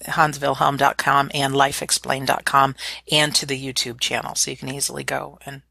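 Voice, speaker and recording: Bright, gravelly-voiced woman host. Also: rumbling low, little pitch movement, moderate pace, dry recording. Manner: enthusiastic, warm praise. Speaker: radio show host. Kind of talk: interview hosting.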